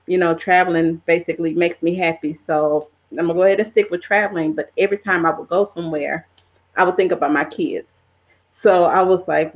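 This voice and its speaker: Slightly dull, lo-fi audio of a middle-aged woman with a lowish voice. She speaks as an interview guest, casual and conversational, feeling her way through her sentences haltingly. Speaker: podcast guest, travel content creator.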